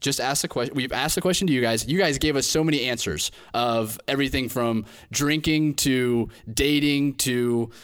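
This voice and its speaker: A man's voice: medium pitch, moderate pace, few pauses, a bit bright, clear, resonant tone. Young male podcast host, casual and jokey, loose rambling delivery, mid-range voice. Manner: jokey, rambling, self-correcting.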